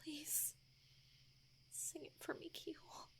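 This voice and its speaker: Woman acting a soft, high-voiced gentle wife. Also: flat delivery, slow, gravelly, in a whisper, exaggerated voice. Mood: hushed pleading. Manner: soft, tender, plaintive. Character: gentle grieving wife, long-lived, mourning lost friends. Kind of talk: dramatic voice acting in a fan comic dub. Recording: music bed with plucked guitar.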